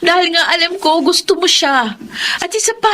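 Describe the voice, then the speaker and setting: A woman's voice: very high-pitched, moderate pace, bright, forceful tone, a little hiss or hum. A woman playing a kind, dutiful young student in a Filipino radio drama. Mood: pleading, upset. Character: Cindy, kind, dutiful young aspiring teacher.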